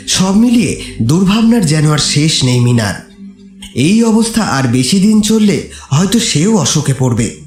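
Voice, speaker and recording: Male narrator for dramatic horror audio story; animated intonation, echoey sound over music. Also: fairly high pitch.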